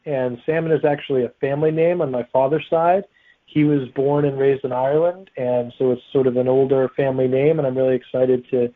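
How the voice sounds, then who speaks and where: Man on a tinny phone-like line. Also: mid-range voice. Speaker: author being interviewed. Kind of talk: casual interview answers.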